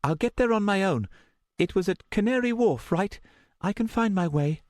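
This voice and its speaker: A man's voice: sits high, expressive, moderate pace, dry recording, studio quality, pitched above the performer's own voice. A man voicing a frightened, defiant young woman in an audiobook story, strained, emotional and insistent.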